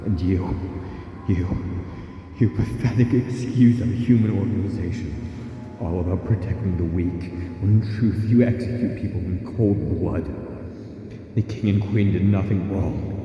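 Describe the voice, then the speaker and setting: A man voicing a proud, vengeful, anguished demon royal in an audio drama, declaiming bitterly and haltingly through a reverb effect. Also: low voice. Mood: furious, contemptuous. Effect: heavy reverb of a memory scene. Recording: long echoing reverb, dull sound, faint noise.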